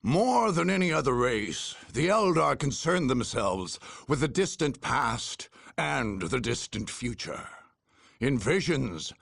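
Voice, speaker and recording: An actor playing a grave, grandiose male war chronicler, narrating a game story in a theatrical, deliberate way. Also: medium pitch, gravelly, husky, dry recording, clean studio sound, heavily acted. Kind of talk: dramatic scripted game narration.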